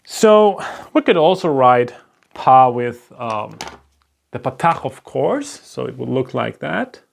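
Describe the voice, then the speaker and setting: Slow gravelly German-accented male teacher. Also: medium pitch, sing-song. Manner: patient, step-by-step, checks in with "right?". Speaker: Hebrew teacher. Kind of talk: slow explanatory lesson at a board.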